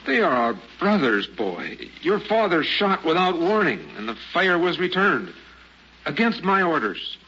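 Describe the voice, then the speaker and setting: Stern bearded renegade, highish forceful voice, animated pitch, solemn, old radio drama, dull recording. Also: male voice, a little slow, a little hiss or hum, full character voice. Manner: solemn, firm, clipped formal phrasing. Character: stern bearded human renegade leading Martians, sorrowful.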